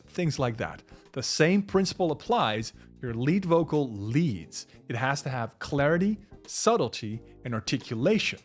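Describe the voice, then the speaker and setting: A young man with a low, full voice explaining vocal recording techniques to camera in a small home studio, talking with animated hand gestures. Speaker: music producer and vocal coach. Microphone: studio condenser mic on boom arm in front.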